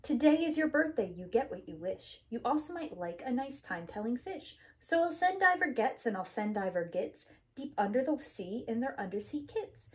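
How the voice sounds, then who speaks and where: Lady preschool teacher doing storytime, kinda high voice, super up and down, bouncy sing-song rhymes. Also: very animated, moderate pace, few pauses. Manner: sing-song, emphatic rhythmic delivery. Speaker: preschool teacher reading to children. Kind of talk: animated read-aloud of a rhyming picture book.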